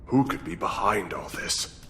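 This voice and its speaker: A man's voice: fairly low pitch, lively intonation, measured pace, pushed and bright, slight background noise. A man acting a composed, dutiful military retainer in a video game, formal and level-headed. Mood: serious, composed.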